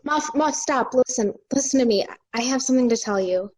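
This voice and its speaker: A woman reading the part of a blunt, uneasy estranged wife in a dramatic table read, insistent yet halting. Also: high voice, low-quality recording. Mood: uneasy, resolute.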